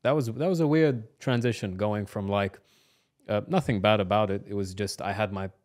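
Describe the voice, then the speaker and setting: A man's voice: lowish voice, normal speed, darkish tone. A man of about thirty who grew up in New Zealand, not an English speaker, talking casually and hesitantly as an interview guest. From Iraq-born, grew up in New Zealand. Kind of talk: casual autobiographical conversation.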